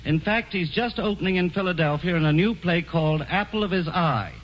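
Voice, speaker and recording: A man's voice: high voice, expressive, a little fast. A man hosting a radio drama and speaking in a formal, courtly manner. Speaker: radio host. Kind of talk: scripted radio presentation. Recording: dull lo-fi 1940s radio broadcast with hiss.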